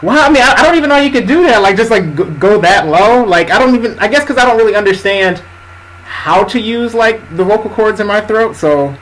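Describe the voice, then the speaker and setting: Hesitant fairly high-voiced male interviewer. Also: lively intonation, brisk, fairly bright tone, slight background noise, rough recording. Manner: hesitant, many fillers and restarts. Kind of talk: casual interview chat.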